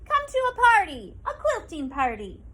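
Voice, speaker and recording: A woman putting on a high fluttery old woman. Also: very animated, normal speed, a bit bright, exaggerated voice. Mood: calling out. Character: kind old woman sewing a quilt.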